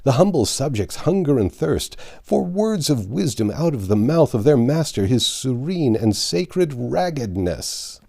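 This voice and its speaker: Man putting on a scornful rowdy medieval crowd, storybook, taunting sing-song, husky, mellow. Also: medium pitch, sing-song, unhurried, few pauses, pitched above the performer's own voice, exaggerated voice. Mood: mocking.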